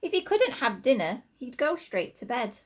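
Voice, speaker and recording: Woman, infant teacher, storytime reading, higher-pitched, animated intonation, sing-song, deliberate. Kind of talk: expressive children's storybook reading.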